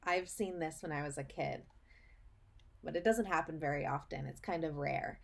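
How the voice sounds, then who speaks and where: A woman teacher reading a story aloud for children, explaining as she goes and pausing to put questions to the listener, with emphasis on key phrases. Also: sits low, creaky. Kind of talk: read-aloud lesson for children, asking questions.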